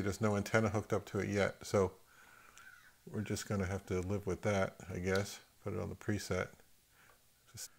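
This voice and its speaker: A middle-aged man giving a casual, unscripted gear demonstration, thinking aloud in halting phrases as he handles the equipment. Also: sits low, creaky. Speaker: host of an audio gear review channel.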